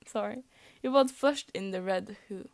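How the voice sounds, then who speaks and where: Norwegian-speaking young woman reading a fanfic out loud, trips on words a lot, giggles at herself, dry close sound. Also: medium pitch, takes it slowly, no room sound. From Norway. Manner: stumbles over words and laughs at her own slips. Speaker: fanfic reader. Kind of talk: reading fan fiction aloud.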